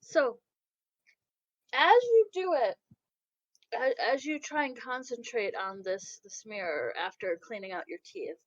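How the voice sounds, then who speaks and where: A woman improvising narration as game master of a tabletop game, with a halting delivery. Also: medium pitch, no echo. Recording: dull, lo-fi microphone sound.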